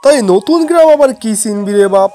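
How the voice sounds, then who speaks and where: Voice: medium pitch, expressive, fast, natural voice. An actor voicing a village father in a cartoon, rustic and repetitive, fearful and doting as he scolds. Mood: impatient. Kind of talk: amateur cartoon voice acting. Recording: loud music behind the voice.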